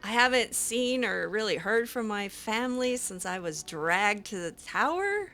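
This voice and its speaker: A woman voicing her own character over a video game. She talks in a hesitant, rambling way, full of fillers and restarts, with a snarky edge. Her voice is bright and mid-pitched. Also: sing-song, measured pace. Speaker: gaming roleplay host.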